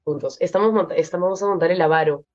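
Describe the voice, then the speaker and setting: A young Peruvian woman with a Peruvian Spanish accent, an actress being interviewed, speaking thoughtfully with many pauses and fillers. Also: lowish voice, a little mellow, lo-fi sound. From Peru. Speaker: actress guest. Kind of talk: interview conversation.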